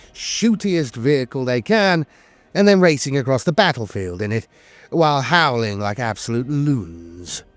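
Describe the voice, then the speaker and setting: Higher-voiced man narrating lore with lively intonation. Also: no echo. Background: music.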